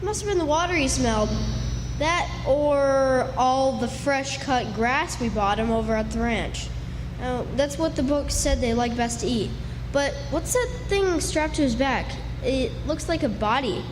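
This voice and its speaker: A woman's voice: high-pitched, lively intonation, unhurried. An American woman playing an eager, plucky Old West country boy in a radio drama, earnest and emphatic. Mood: curious, awed. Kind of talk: radio drama acting before an audience. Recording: echoey live hall, clear background noise.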